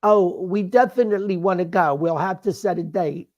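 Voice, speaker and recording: A man in his sixties from the Philadelphia Main Line, co-hosting a casual live chat in a courtly, playfully flattering manner. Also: high-pitched, moderate pace, lo-fi sound. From Philadelphia Main Line, USA. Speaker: co-host.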